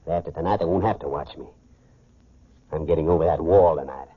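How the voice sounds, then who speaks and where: A cocky crook on a 40s radio show, deep raspy male voice, muffled old recording. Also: fairly quick. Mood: sly, confident. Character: hardened male convict, wily and boastful fugitive killer. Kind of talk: 1940s radio crime drama acting.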